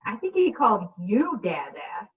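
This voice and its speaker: A woman's voice: medium pitch, huge pitch swings, unhurried, voice pushed down. A woman playing an exasperated, defensive single mother in a comedic radio play, recorded on a distant, muffled mic. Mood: relieved, tender.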